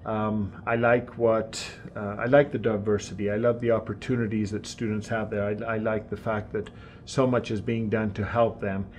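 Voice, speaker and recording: A man interviewed as an incoming high school principal, earnest, often repeating words as he starts a sentence. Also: level tone, moderate pace, slight background noise. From Tanzania and Mexico. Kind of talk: interview answers. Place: school office.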